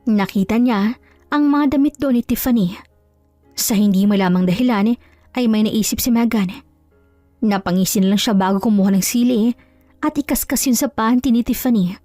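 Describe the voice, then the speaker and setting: A woman's voice: a little high, moderate pace, pauses often, mellow, no room sound, soft background music. A woman narrating a scripted dramatic love story as a storyteller.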